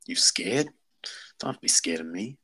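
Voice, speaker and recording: Man as a wheedling male vagrant, raspy breath, swinging intonation, dry close sound, drama script read. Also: low-pitched, lively intonation, normal speed, sharp tone, no echo, full character voice. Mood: creepy, taunting. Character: menacing, wheedling male street bum. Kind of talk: screenplay table read.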